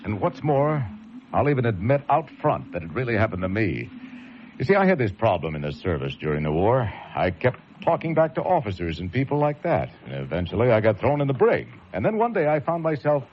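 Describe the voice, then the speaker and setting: Man playing very animated ex-serviceman storyteller. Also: medium pitch, a little fast, lightly acted. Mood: eager, wry. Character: eager former serviceman telling his own war yarn. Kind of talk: radio drama acting, conversational storytelling. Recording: dull radio recording, clearly noisy.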